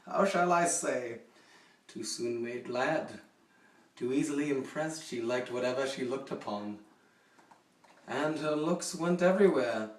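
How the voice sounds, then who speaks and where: A man playing a haughty, possessive, coldly jealous duke in a theatrical, deliberate dramatic poetry recitation. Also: mid-range voice, animated, lightly acted. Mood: indignant. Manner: theatrical, deliberate, swinging from hushed to forceful. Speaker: poetry reader. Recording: roomy echo, distant microphone.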